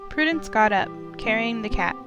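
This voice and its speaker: A woman's voice: on the high side, lively intonation, moderate pace, lo-fi sound. A woman reading scripted third-person narration for a visual novel in a storytelling style. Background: melodic mallet music.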